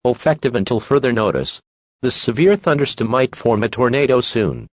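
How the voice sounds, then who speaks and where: A man's voice: medium pitch, sing-song, moderate pace, dry recording, clean studio sound. A synthetic-sounding man reading scripted emergency weather alerts in a formal announcer's manner.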